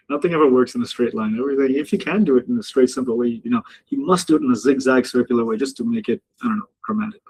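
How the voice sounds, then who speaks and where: A man's voice: mid-range voice, quick pace, darkish tone, breathy edge, poor audio. A man hosting a live trading session from Dubai, chatting casually, joking with viewers in the chat and laughing often. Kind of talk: casual live-stream commentary. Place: home office in Dubai.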